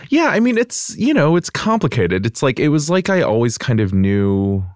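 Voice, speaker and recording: A man's voice: medium pitch, huge pitch swings, normal speed, darkish tone, no echo, clean studio sound. A young American man, a podcast guest, talking thoughtfully with many fillers like "like" and "you know". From United States. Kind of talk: reflective interview conversation.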